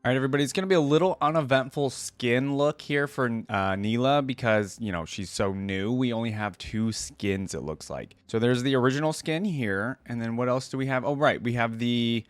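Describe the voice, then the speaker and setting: A man's voice: medium pitch, brisk, hardly any pauses, smooth, no echo. A man hosting a reaction video in a casual, conversational way, thinking aloud as he comments. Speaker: YouTube reaction host.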